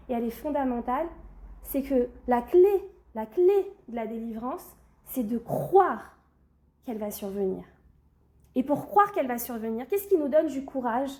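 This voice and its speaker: A woman's voice: high-pitched, warm tone. A woman teaching a religious class who has a cold, putting questions to her students and checking "d'accord?" as she goes. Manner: questions the class, then answers, often saying "d'accord?". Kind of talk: recorded Torah class.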